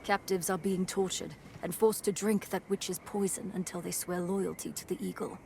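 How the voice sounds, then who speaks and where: An actress playing a tough, dry-witted raider boss in a dramatic video game, curt and commanding. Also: female voice, lowish voice, fairly even intonation, normal speed, creaky, dark tone, slight background noise. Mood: grim, determined.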